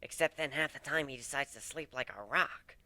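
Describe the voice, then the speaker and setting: A man's voice: medium pitch, normal speed, bright, forceful tone, no room sound. A man voicing a sultry female griffin lover, with a purring, teasing delivery in a dramatic story reading.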